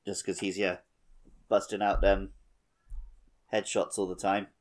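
A man with a fairly low voice talks casually as a podcast co-host. He sounds like he is chatting informally about video games. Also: flat delivery, unhurried. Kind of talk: casual gaming chat.

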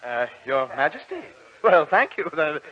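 An actor playing a spineless young Dauphin in an old radio drama, hesitant and self-deprecating. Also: male voice, on the high side, big pitch swings, normal speed, slight background noise. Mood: flustered. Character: spineless, meek young Dauphin, a man with no kingdom. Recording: dull old radio recording.

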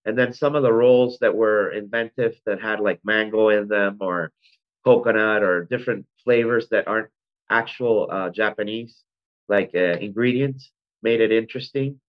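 Low-voiced Venezuelan-born man, rambling. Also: moderate pace. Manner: rambling, frequent ums and self-corrections. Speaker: restaurant founder, guest. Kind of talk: conversational interview. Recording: dull lo-fi sound.

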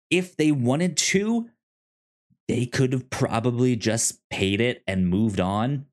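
A man co-hosting a podcast, chatting casually about television in a halting, stammering way that often repeats words. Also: medium pitch, darkish tone. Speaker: podcast host. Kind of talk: casual TV review chat.

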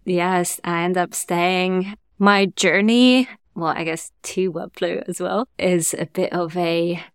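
Smooth-voiced woman guest, chatty. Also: on the low side, takes it slowly, no echo, studio quality. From Germany. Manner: relaxed, laughs often, uses fillers like "like". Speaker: agency cofounder, guest. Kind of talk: casual interview chat.

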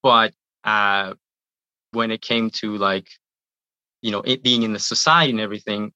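Bright-voiced Iranian-born man, chatty. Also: lowish voice, steady tone, moderate pace, clear, resonant tone, dry recording, highs cut off. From Iran. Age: 30s. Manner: chatty, repeats words and says "uh" a lot. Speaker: guest, YouTuber. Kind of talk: casual interview answers.

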